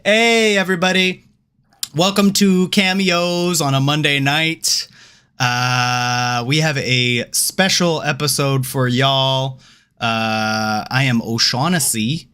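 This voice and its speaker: A man in his forties hosting a casual chat show, relaxed and sprinkling in "uh" fillers. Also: mid-range voice, slow pace, clean tone. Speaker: podcast host.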